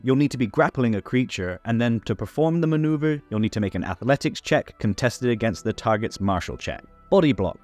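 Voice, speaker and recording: Male explainer host, animated intonation, scripted rules tutorial, music underneath. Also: medium pitch. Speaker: host of a tabletop game rules series. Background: background music.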